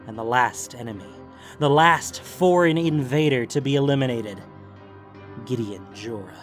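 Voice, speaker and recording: A man giving a solemn, theatrical narration of a dramatic fantasy story. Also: medium pitch. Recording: music under the voice. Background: music.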